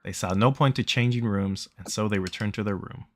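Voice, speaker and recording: A man's voice: fairly low pitch, a little fast, slight background noise. A man live streaming a visual novel, reading it aloud and chatting casually.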